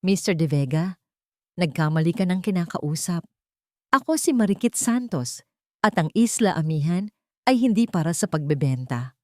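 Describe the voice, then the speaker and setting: A woman's voice: on the low side, animated, moderate pace, pauses often, dark tone, dry recording, clean studio sound, natural voice. A woman playing a proud, wounded single mother in a romance audio drama, firm, cold and defiant. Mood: firm refusal.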